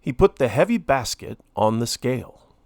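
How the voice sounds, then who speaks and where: A man reading a children's story aloud in an expressive way, with deliberate, dramatic emphasis. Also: mid-range voice, no room sound. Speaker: storybook reader, host.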